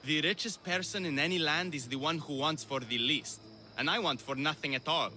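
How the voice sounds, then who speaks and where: An actor playing an eccentric, smug and witty Greek philosopher in a video game cutscene, playful and theatrical. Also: male voice, a little high, a little fast, sharp tone, slight background noise. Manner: self-satisfied, playful, theatrical.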